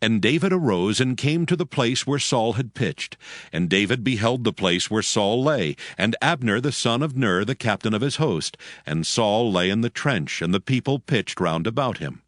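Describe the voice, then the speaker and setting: A man narrating a dramatized audio Bible in a solemn, measured storytelling style. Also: lowish voice, expressive, no room sound, clean studio sound.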